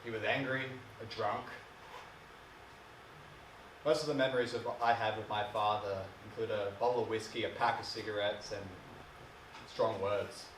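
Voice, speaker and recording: Roomy echo, faint hiss, man acting as wounded bitter son, brooding, halting, emotional stage monologue. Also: on the low side, steady tone, lightly acted. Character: bitter, wounded adult son of an alcoholic father. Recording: distant mic, echoey room, faint hiss.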